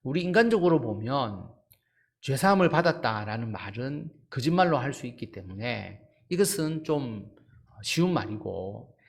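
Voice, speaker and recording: A man's voice: medium pitch, big pitch swings, moderate pace, gravelly. A man speaking as a pastor, giving an expository Bible lesson in an explanatory way and putting rhetorical questions to listeners.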